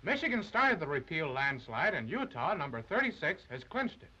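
A man's voice: a little high, very animated, normal speed, sharp tone, highs cut off. A man narrating a 1930s newsreel in a dramatic, emphatic, declamatory style, on an old film soundtrack.

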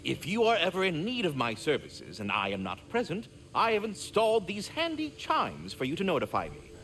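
Swooping, florid talking squirrel. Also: male voice, a little high, wildly swooping pitch, moderate pace, a bit bright, a little hiss or hum, heavily acted. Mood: cheerful, instructive. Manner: florid, pompous, theatrical enunciation. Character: chatty, courtly talking squirrel, fussy and affable. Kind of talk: video game character acting.

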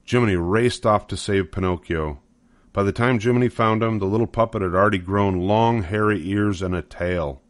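A man's voice: fairly low pitch, moderate pace, no room sound. An older man narrating a children's storybook from a script.

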